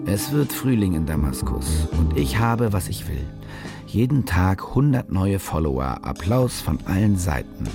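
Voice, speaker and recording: An actor playing a cynical, self-loathing fake war reporter in a radio drama monologue, sardonic and speaking in clipped phrases. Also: male voice, sits low, sing-song, a little slow, gravelly, loud music behind the voice, heavily acted. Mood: grim, bitter. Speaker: narrator and lead character.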